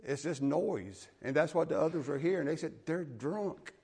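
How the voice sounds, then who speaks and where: A man's voice: on the high side, lively intonation, moderate pace, vocal fry, warm tone, slightly rough. A man with a Southern US accent preaching a sermon, reading scripture aloud and explaining it in a folksy, plain-spoken way. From American South.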